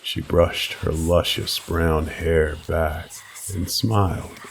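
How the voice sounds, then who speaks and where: Male horror narrator: deep, heavily gravelly, slow and brooding, dramatic scripted read over faint ambient noise. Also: steady tone. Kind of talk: dramatic scripted first-person creepypasta narration.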